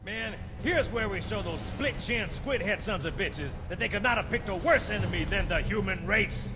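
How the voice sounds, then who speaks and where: Actor as a high-pitched, bellowing Marine sergeant. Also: male voice, close to an everyday voice. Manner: bellowing, emphatic drill-sergeant swagger. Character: gung-ho, swaggering male Marine sergeant, pugnacious and profane. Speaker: video game voice actor. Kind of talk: barked military pep talk in a game cutscene. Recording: echoing hall, loud music, crowd behind.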